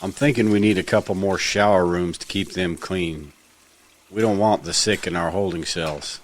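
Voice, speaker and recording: A man's voice: sits low, moderate pace, natural voice. An actor playing a matter-of-fact, compliant henchman who deals in captives, in an audio drama. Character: businesslike henchman dealing in captive labour.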